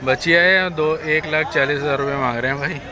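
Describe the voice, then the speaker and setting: A man vlogging at an outdoor cattle market, briskly asking sellers prices and repeating them back. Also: a little high, a crowd in the background. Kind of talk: casual on-the-spot questioning. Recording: crowded outdoor market noise.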